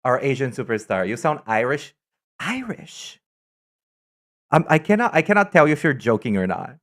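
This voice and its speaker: A man's voice: medium pitch, moderate pace. Campy, chatty male streamer from Brazil. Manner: chatty, campy and excitable, trails off into asides. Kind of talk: casual live-stream chat.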